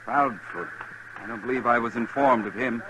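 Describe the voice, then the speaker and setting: A man's voice: mid-range voice, moderate pace, lightly acted. A man playing a stiff, prim government inspector with a formal, precise American manner of speech, in 1950s radio drama style. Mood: officious. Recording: noisy vintage radio broadcast recording.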